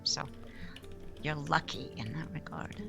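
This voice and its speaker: Female co-host, low register, halting reactive chat, music behind. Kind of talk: casual chat.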